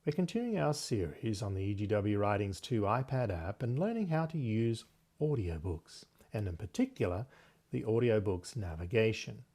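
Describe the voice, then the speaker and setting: Lively, slightly husky man hosting a tutorial. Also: lowish voice, moderate pace. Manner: conversational, step-by-step explaining. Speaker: host of tech tutorial series. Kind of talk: software tutorial walkthrough.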